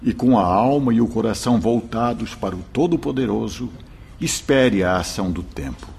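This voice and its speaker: An actor playing a kindly religious brother and spiritual mentor in a radio drama, husky, fatherly and earnest. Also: on the low side, lively intonation, darkish tone, exaggerated voice. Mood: fatherly, consoling. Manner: fatherly, measured, earnest, declamatory.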